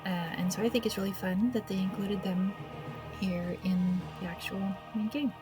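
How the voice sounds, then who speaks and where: A woman's voice: mid-range voice, fairly even intonation, moderate pace, over music. A woman hosting a gaming video with casual, awkward, self-deprecating commentary, speaking hesitantly. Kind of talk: casual let's play commentary.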